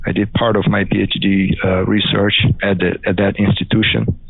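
A man's voice: on the low side, flat delivery, normal speed, gravelly, slightly rough, dry recording. A middle-aged man from Brazil with a Brazilian Portuguese accent, speaking English as a second language and answering interview questions with many fillers and restarts. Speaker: guest, crop scientist. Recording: dry phone-like audio.

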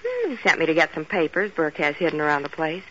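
An actress playing a scheming, cool, teasing femme fatale with an American accent in a 1940s radio detective drama. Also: female voice, deep voice, wildly swooping pitch, fast talker, a bit bright, heavily acted. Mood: coolly flirtatious. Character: sultry hard-boiled scheming femme fatale. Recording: dull, noisy old radio recording.

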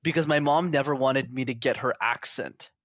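A man's voice: medium pitch, moderate pace, a bit bright, no room sound. Man chatting candidly, close dry sound. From Montreal, Canada. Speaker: interview guest. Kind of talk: casual conversational storytelling.

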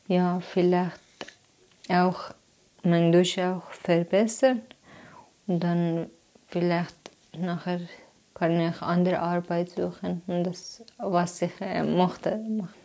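A woman from Afghanistan telling her plans in halting German, pausing often to search for words. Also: fairly low pitch, little pitch movement, dark tone. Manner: hesitant, searching for words with many pauses. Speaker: interviewee. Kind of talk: halting personal account in learner German.

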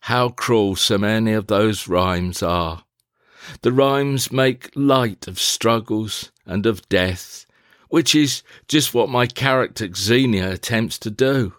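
A man narrating a scripted, reflective personal diary in a measured, deliberate, formal manner. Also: fairly low pitch, a bit bright, clear, resonant tone, no echo, studio quality. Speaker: diarist, playwright.

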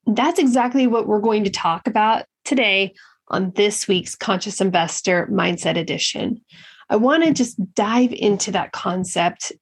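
A woman's voice: mid-range voice, measured pace, slightly rough. A woman hosting a solo motivational podcast, talking earnestly and directly to her listeners, slightly nasal from a head cold. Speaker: podcast host.

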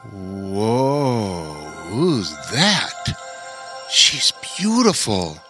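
A man's voice: medium pitch, a little slow, music in the background, lightly acted. A man voicing a friendly, awestruck wizard in a children's story, earnest and full of wonder.

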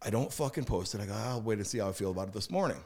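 A man's voice: fairly low pitch, lively intonation, racing, a little mellow. A man with a slight lisp, a podcast guest talking bluntly and with a lot of swearing. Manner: blunt, profane, emphatic; laughs while telling stories. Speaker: guest, entrepreneur. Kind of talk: casual podcast chat.

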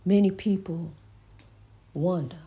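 Woman, stutter, folksy inspirational monologue, slow-paced, muffled lo-fi sound. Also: lowish voice, mellow. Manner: says she stutters; folksy, calls listener "honey", repeats herself. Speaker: host. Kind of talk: casual inspirational preaching monologue. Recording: dull lo-fi recording.

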